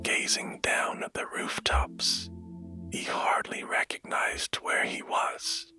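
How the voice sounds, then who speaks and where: A man narrating a bedtime story in a hushed, gentle and soothing manner, taking his time. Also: slow pace, in a whisper. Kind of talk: slow, whispered bedtime storytelling. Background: music.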